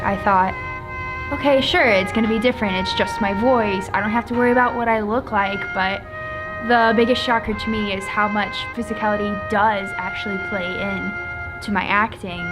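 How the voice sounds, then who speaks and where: A woman's voice: medium pitch, rough recording. A woman, a stage actor, talking candidly and earnestly about her work in a behind-the-scenes interview.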